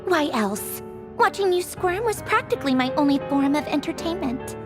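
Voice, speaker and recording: Haughty, sardonic villainess, animated. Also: female voice, high voice, normal speed, music in the background. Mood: flustered, teasing. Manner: sarcastic, dismissive, theatrical. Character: haughty, sardonic young female villain officer, secretly wistful. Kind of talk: video game voice acting.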